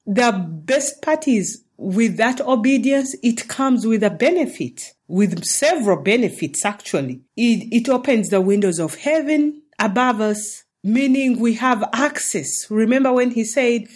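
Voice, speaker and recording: A woman's voice: on the high side, animated, measured pace, few pauses. A woman from Uganda, hosting a faith-based teaching talk in an earnest, encouraging way. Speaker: show host.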